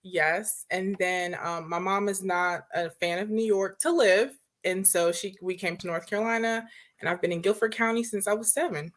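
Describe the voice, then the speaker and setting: A woman's voice: medium pitch, moderate pace. A young woman from Trinidad and Tobago, a political candidate, answering interview questions in an earnest way and laughing easily. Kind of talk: interview conversation.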